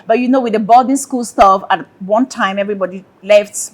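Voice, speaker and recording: A woman from Cameroon with a Cameroonian accent, talking as an interview guest in an expressive, conversational way and repeating phrases for emphasis. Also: medium pitch, a little fast. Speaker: interview guest, caterer and entrepreneur. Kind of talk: conversational personal storytelling.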